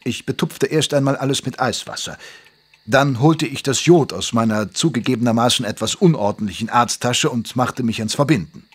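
An ageing Victorian doctor and loyal companion , acted by a man, with a husky edge to his mid-pitched voice. He tells his detective tale in measured, literate phrases with dry wryness, in audio drama style. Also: natural voice. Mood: measured, wry. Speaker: narrator and character in an audio drama. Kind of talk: German audio drama narration and dialogue.

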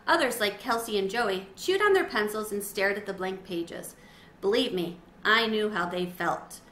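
A middle-aged woman reading a children's story aloud expressively, with clear storytelling phrasing. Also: medium pitch, expressive, moderate pace, fairly bright tone. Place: small windowed sunroom at home. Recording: roomy echo, faint background noise.

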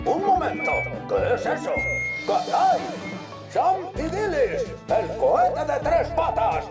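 An older fire chief from a cartoon, grand and excitable. He has a European Spanish accent and swings wildly in a high, deliberate voice. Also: over loud music, exaggerated voice. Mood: thrilled commentary. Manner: theatrical, exclamatory. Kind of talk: cartoon dub acting.

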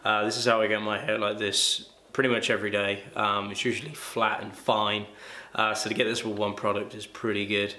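Young man, casual barber vlogger, lowish voice, bright tone, roomy echo. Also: fairly quick. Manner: relaxed and chatty, many ums and uhs. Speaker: barber reviewing a hair product. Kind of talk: casual product review vlog. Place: small room indoors. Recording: echoey room with faint ambience.

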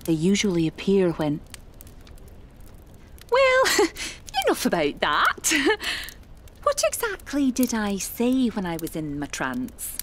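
An actress playing an eccentric, flighty and solemn psychic medium in an audio horror drama, with flowery, genteel speech. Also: female voice, mid-range voice, wildly swooping pitch, takes it slowly, full character voice. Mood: flustered.

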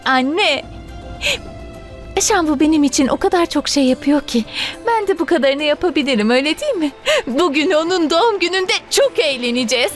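Excited bossy young wife, really high lively voice, tv drama dub, insistent. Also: female voice. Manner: animated, insistent. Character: eager, bossy, affectionate young wife planning a surprise. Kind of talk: Turkish dubbing of a TV drama.

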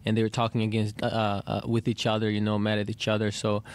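Man, low flat voice, post-game presser, desk mic, lots of uh and you know. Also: no echo. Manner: halting, many fillers and restarts. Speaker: basketball player at press conference. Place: arena press room.

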